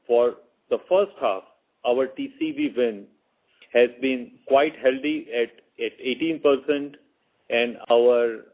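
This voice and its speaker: Finance executive man, thin phone-line sound. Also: mid-range voice. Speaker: chief financial officer. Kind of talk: financial results briefing. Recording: narrow tinny phone conference line.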